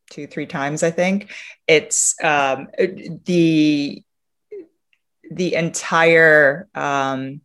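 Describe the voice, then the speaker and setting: A woman from Romania answering as an interview guest, telling anecdotes in a reflective, halting way and often rephrasing mid-sentence. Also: deep voice, fairly bright tone. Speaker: interview guest, sustainability leader.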